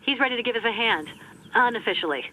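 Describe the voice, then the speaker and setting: A woman's voice: a little high, animated, fast, sharp tone. An actress playing a friendly, caring female office colleague in a video game, chatty and familiar, over a noisy call.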